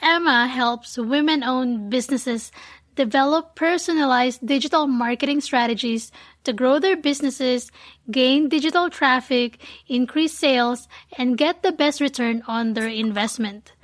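Higher-pitched woman hosting a podcast. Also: measured pace. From Philippines. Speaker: podcast host. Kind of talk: podcast introduction and interviewing.

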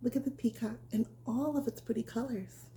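A woman reading a picture book aloud as a bedtime storyteller, speaking in a nurturing, simple way as if to a small child, with a breathy voice that often drops toward a whisper. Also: fairly high pitch, fairly even intonation, fairly quick, dark tone.

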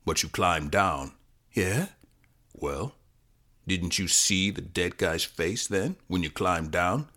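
Man playing a low, probing police interrogator. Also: expressive, bright, forceful tone, exaggerated voice. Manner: slow, skeptical, leading.

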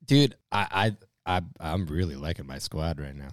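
A man hosting a podcast in casual, joking banter, talking emphatically with drawn-out stresses. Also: sits low, animated. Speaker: podcast host. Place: podcast recording space.

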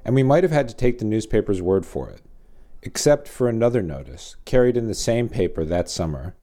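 A man's voice: on the low side, big pitch swings, brisk, mellow. A man narrating a scripted story feature as host, with wry, dry asides.

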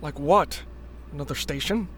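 A man's voice: fairly high pitch, soft background music, close to an everyday voice. A man playing an injured, stubborn rebel agent in a sci-fi radio drama, reasoning insistently. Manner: insistent, measured explaining.

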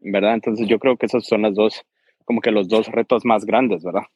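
Guy in his 30s, husky voice, hesitant, dry close sound. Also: fairly low pitch, steady tone, a little fast, a little mellow, dry recording, lo-fi sound. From Guatemala. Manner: hesitant, keeps adding "¿verdad?". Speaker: guest. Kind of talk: casual interview.

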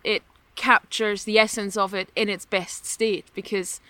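A woman's voice: mid-range voice, little pitch movement, measured pace, sharp tone, no echo. A woman whose first language is German, hosting a podcast interview in a conversational, appreciative way. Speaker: podcast host.

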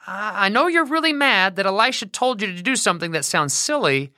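A woman voicing a polite man from a general's group who reasons with him gently. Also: mid-range voice, expressive, fairly quick, dry recording, studio quality. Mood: coaxing. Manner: polite, coaxing.

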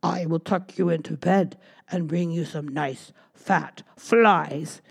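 A woman voicing a motherly lady frog in a storybook reading, with a croaking manner. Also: low-pitched, slow, exaggerated voice. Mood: kindly.

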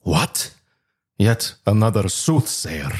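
A man's voice: lowish voice, sing-song, slow pace, breathy edge, exaggerated voice. A man playing a proud, despairing Roman governor and husband in a theatrical, declamatory audio drama. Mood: weary, subdued.

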